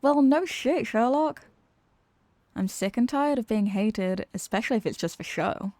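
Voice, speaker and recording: A woman's voice: fairly high pitch, animated, a little slow, mellow, no echo. A woman playing an exasperated, sarcastic supervillain who is secretly weary of evil, in a theatrical comedic ASMR roleplay monologue.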